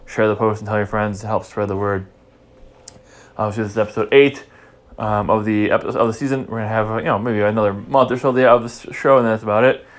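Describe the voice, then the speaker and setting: A man's voice: lowish voice, fairly even intonation, fast. Congested man hosting a podcast. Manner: rambling, repeats words while thinking. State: stuffy, congested. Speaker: podcast host. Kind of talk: casual podcast recap chat.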